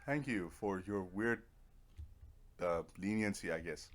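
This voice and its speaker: A man's voice: low-pitched, unhurried. A man giving casual let's-play commentary, offhand and muttering to himself as he plays. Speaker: gamer playing and narrating a video game.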